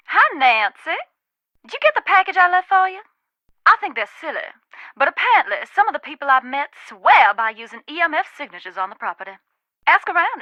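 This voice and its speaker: A woman's voice: high voice, bright, forceful tone, no room sound, highs cut off, exaggerated voice. A woman playing a folksy Southern spirit medium in a video game, telling her stories emphatically in a Southern US accent. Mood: friendly, breezy. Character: haunted Southern woman, a warm, wary ghost-hunting spirit medium. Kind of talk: dramatic video game dialogue.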